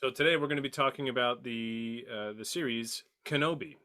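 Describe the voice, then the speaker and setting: A man with a bright, forward voice at an ordinary pitch hosts a casual group chat. He is jokey and talks in halting stretches, circling back as he goes. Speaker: podcast host.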